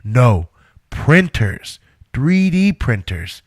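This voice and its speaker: A man's voice: medium pitch, sing-song, extremely slow, breathy edge, dry recording, studio quality, heavily acted. A man playing a reluctant inventor in an audio drama, earnestly protesting for the work he is devoted to. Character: Einstein, a reluctant, indignant male inventor devoted to his work.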